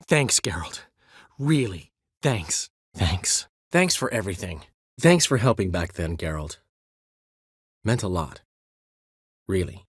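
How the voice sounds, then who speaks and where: An actor playing a vain, witty male bard in a video game, speaking theatrically with sweeping swings in pitch. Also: sing-song, takes it slowly, pauses often, breathy edge, no echo, studio quality, heavily acted. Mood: grateful. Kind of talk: game voice acting.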